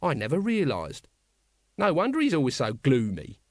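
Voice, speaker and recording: A man's voice: fairly high pitch, wildly swooping pitch, moderate pace, a little mellow, no echo, clean studio sound, full character voice. A man voicing a blunt, scruffy older watchman with colloquial speech in a storybook reading. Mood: subdued.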